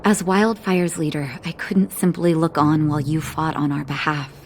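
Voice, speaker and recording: A woman playing a calm, assured resistance leader in a video game, poised and measured. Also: sits low, a little hiss or hum.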